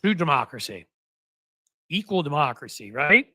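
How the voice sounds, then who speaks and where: Bright-voiced man in his fifties, rambling. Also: on the high side, sing-song, normal speed, no room sound, clean studio sound. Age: 50s. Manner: rambling, self-deprecating, frequent fillers and restarts. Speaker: host. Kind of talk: casual livestream chat.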